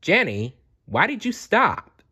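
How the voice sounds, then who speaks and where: Man as a schoolgirl playmate, high, singsong, exclaiming, very animated storybook acting. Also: slow, husky, exaggerated voice. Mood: concerned. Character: chanting, nagging young girl playmates.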